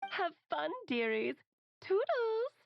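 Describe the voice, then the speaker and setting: A woman's voice: extremely high pitch, very animated, slow pace, vocal fry, highs cut off, very noisy, full character voice. A woman voicing a playful, breezy witch auntie in a video game, speaking in a theatrical, teasing singsong on a recorded message. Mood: playful, chirpy. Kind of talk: video game character acting.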